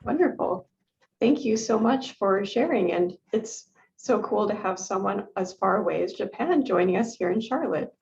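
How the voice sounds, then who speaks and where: A woman's voice: mid-range voice, animated, moderate pace, vocal fry, a little mellow. Welcoming woman on a dull lo-fi recording. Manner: friendly, welcoming. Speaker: club president. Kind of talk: meeting chairing. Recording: dull lo-fi sound.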